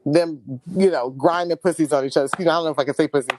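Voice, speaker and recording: A woman's voice: low-pitched, rapid-fire, no echo. Chatty woman with a Houston accent. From Houston, Texas. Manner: animated, candid, laughs often. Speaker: podcast co-host. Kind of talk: casual chat.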